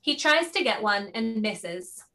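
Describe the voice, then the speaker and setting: A woman's voice: mid-range voice, animated, a little slow, pushed and bright. A woman giving scripted narration, reading out the stage directions of a play.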